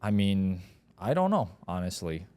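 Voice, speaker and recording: Man from Poland, Polish first language, podcast co-host, very low pitch, hesitant casual talk. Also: very animated, a little slow, dark tone. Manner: hesitant, lots of "like" and "right". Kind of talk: casual chat.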